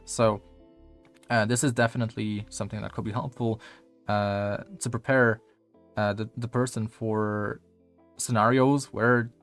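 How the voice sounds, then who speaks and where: Rambling Polish-accented game coach. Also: male voice, lowish voice, little pitch movement, unhurried, soft background music. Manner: rambling, filler-heavy, casual swearing. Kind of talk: casual gameplay video review.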